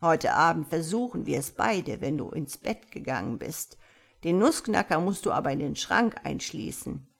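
A woman voicing a wise, patient tomcat in a storybook, speaking in a measured, gently instructive and slightly pompous way. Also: sits low, expressive, creaky, heavily acted. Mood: kindly, matter-of-fact. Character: wise, patient male tomcat, calm mysterious mentor. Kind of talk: storybook character voice.

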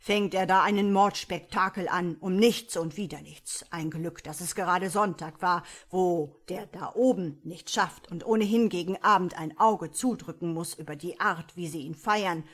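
A woman voicing a gruff, grumbling old male devil in an audiobook, scolding and mocking in a loud, low voice. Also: normal speed, few pauses, close to an everyday voice. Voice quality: louder, lower grumbling voice. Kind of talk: audiobook character voice.

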